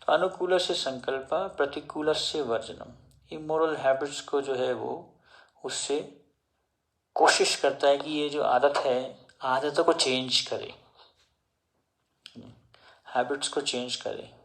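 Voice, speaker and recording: Guy giving a slow spiritual talk, kinda gravelly, quotes verses and explains them. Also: mid-range voice, fairly even intonation, fairly bright tone. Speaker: devotional speaker. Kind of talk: Hindi religious discourse with scripture quotes.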